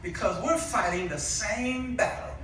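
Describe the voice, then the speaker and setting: A man preaching an impassioned sermon, exhorting his listeners with pauses and rhetorical questions. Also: high voice, over music. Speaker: guest preacher. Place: large echoey indoor church with congregation. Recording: echoey church, distant mic, congregation responding.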